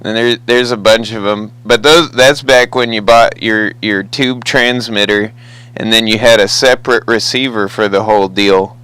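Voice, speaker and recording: A man's voice: on the low side, steady tone, moderate pace, a bit bright, clean tone, rough recording. An American man chatting casually over the radio as a hobbyist, with an easygoing, folksy manner. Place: two-way CB radio conversation.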